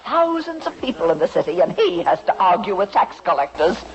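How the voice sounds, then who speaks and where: A woman's voice: lowish voice, very animated, a little fast. A woman acting in a 1950s radio drama as a snobbish, scolding colonial wife, theatrically aggrieved. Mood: aggrieved, wailing. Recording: dull vintage radio with faint noise.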